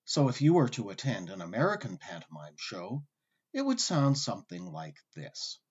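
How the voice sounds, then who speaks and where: A man hosting with scripted documentary narration, delivered in a wry, articulate storyteller's way with deliberate emphasis. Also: lowish voice, huge pitch swings, slightly rough, highs cut off. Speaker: host and narrator.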